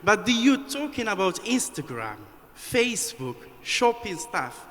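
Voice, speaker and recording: A man's voice: high voice, big pitch swings, bright, forceful tone. Earnest man from Morocco in a reverberant hall. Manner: halting, earnest, repeats words for emphasis. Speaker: audience member, researcher. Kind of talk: impromptu speech from the floor. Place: conference hall. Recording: long hall reverb, faint room noise.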